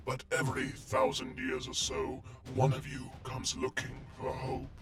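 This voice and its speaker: Over prominent music comes an ancient, undead machine warrior played by a man. The delivery is slow and deliberate, with little rise or fall. It sounds menacing and coldly contemptuous, like a sci-fi audio drama villain. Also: mid-range voice, monotone, fairly bright tone, lightly acted. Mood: dry, mocking.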